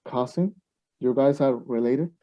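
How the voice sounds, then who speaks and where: A man's voice: mid-range voice, unhurried, warm tone, close to an everyday voice. A man playing a baffled, sarcastic young student in a radio play, sounding incredulous. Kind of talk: amateur radio play acting. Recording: dull, muffled lo-fi microphone.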